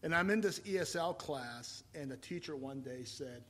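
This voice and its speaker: A man in his fifties, from Taiwan, preaching a sermon and telling his own story, rising to loud emphatic points and falling back to quiet, reflective storytelling. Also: fairly high pitch, normal speed. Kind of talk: sermon with personal testimony.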